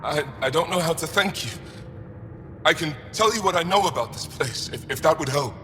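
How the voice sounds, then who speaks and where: An actor playing a weary, grateful alien man freed from captivity, speaking haltingly and earnestly in a video game. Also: fairly high pitch, animated, pushed and bright. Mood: shaken, grateful. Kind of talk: video game dialogue acting. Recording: long reverb, faint background noise.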